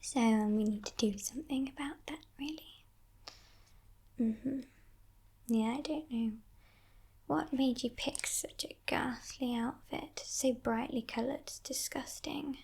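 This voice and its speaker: A woman playing a sly, haughty female demon kidnapper in a whispered ASMR roleplay, breathy, teasing and condescending, coaxing with soft menace. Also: sits high, full character voice. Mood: disdainful, teasing. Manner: slow, teasing, condescending, softly threatening.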